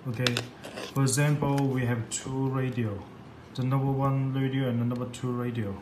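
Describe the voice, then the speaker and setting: A man giving an unscripted tutorial in halting, repetitive, simple English. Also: medium pitch, little pitch movement. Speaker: product demonstrator. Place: indoor room at a computer desk. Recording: echoey room with background noise.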